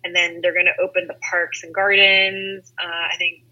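A woman from Seattle, a company founder, speaking as a guest over a video call in a relaxed way with fillers. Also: on the low side, normal speed, highs cut off. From Seattle, USA. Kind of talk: casual video-call interview.

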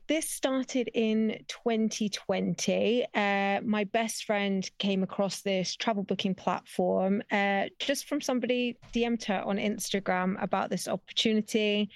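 A woman's voice: medium pitch, gravelly, no echo. A woman raised in Spain, a guest telling her story in casual chat over a video call. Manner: chatty, storytelling, quick asides. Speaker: guest, travel business director.

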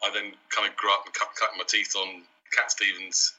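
A man's voice: fairly low pitch, flat delivery, a little fast, bright, forceful tone, breathy edge. A man from Wales as a guest on a podcast, talking in an easygoing, reflective way and laughing often, heard over an internet call. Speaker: guest, company CEO. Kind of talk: casual interview chat. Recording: dull internet call audio.

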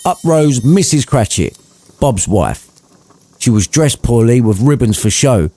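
A man's voice: medium pitch, lively intonation, unhurried, mellow. A man narrating an irreverent audiobook story in a dramatic storytelling style.

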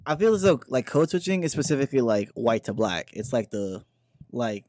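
A young man from Memphis, a podcast guest, talking in a relaxed, deliberate way with joking asides. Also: mid-range voice, dry recording. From Memphis, USA. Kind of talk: casual podcast chat.

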